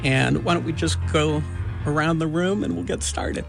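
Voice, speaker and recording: Male host, gravelly, slow and searching delivery, light music bed. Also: on the high side, fairly even intonation. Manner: measured, halting with many "uh" pauses. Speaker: radio show host. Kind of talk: slow, thoughtful interview hosting.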